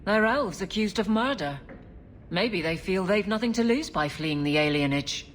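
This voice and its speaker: A woman playing a stern, dutiful female city guard captain in a video game, speaking in an earnest, firm, measured way. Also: medium pitch, animated, slight background noise, natural voice. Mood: dutiful, concerned.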